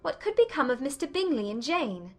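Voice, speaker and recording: A woman playing a witty, candid young gentlewoman in a dramatized classic romance audiobook, polite and gently probing in formal period English. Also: high-pitched, very animated, a little fast, faint music behind, full character voice. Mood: gently teasing.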